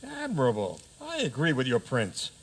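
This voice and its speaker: A man playing a pompous, hurried frog king who presides as judge in a radio theater comedy, with regal, hesitant pronouncements. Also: mid-range voice, wildly swooping pitch, a little hiss or hum, poor audio. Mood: hurried, impatient.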